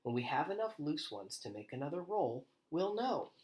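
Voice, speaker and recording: A man's voice: a little high, big pitch swings, moderate pace, darkish tone, slight background noise, voice pushed up. A man voicing an earnest, tidy young boy in a children's storybook, pleased with his organizing. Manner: earnest, satisfied.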